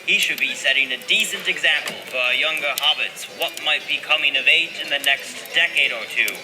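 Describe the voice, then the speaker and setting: An actor playing a grumbling, suspicious hobbit miller in an audio play, carping and insinuating like a spiteful village gossip. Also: male voice, a little high, a little fast, lightly acted. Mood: disapproving. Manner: carping, insinuating, rustic phrasing. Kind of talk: dramatized audio play dialogue.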